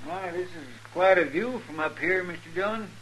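An actor playing a loyal, excitable frontier sidekick in a 1950s radio western, folksy, with a rural American accent. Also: male voice, high-pitched, moderate pace, lightly acted. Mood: puzzled, chatty. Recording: noisy old radio recording.

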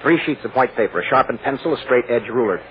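A man acting a shrewd, assured defense lawyer in an old radio drama, deliberate and coaxing with a wry edge. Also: mid-range voice, expressive, lightly acted. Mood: wry, teasing. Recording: muffled, noisy old radio recording.

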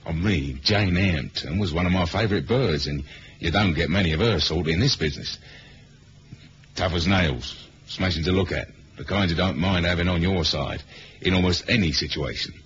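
A man's voice: low voice, moderate pace, fairly bright tone. A man playing a world-weary, sardonic British spy in a 1970s radio drama, with dry, slangy delivery and a British accent. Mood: wry narration. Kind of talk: 1970s radio spy drama, acting and first-person narration. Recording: muffled old radio recording, faint hiss.

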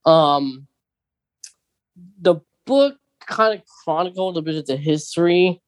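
A podcast co-host with a medium-pitched voice talks in a casual, conversational way. The sound is dry, with hardly any room to it. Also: no room sound, low-quality recording. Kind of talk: casual chat about films and books.

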